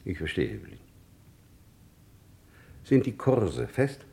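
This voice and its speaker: Older dead businessman dad, clipped, lowish voice that swings around, old radio play. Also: wildly swooping pitch, slow, vocal fry, a little mellow, full character voice. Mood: terse, weary. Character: dead businessman father returned as a terse ghost. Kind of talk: vintage German radio crime drama acting.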